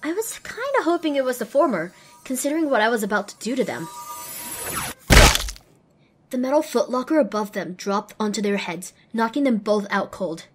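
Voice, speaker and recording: A woman's voice: a little high, big pitch swings, moderate pace, over loud music. A woman voicing a young, determined and wry female pony who narrates her own adventure in an audio drama. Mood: wry, tense. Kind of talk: scripted first-person narration in audio drama.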